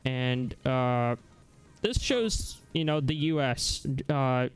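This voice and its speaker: Guy doing a casual live software demo, clean smooth voice, kinda halting as he explains, bit of music behind. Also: medium pitch, animated. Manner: halting, searching for words as explaining. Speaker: livestream host.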